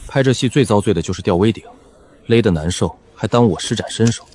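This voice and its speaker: A man's voice: lowish voice, quick pace, a little mellow, natural voice. A man voicing a cool, aloof character with dry, unbothered confidence in an audio drama. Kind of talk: dubbed audio drama dialogue.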